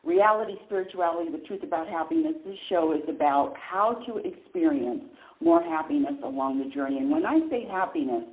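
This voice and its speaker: A woman hosts a live call-in radio show, chatting loosely and circling back on her words. Her pitch swings widely from phrase to phrase. The sound is thin like a phone line and carries a roomy echo. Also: expressive, a little fast. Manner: chatty, rambling, restarts often, laughs easily.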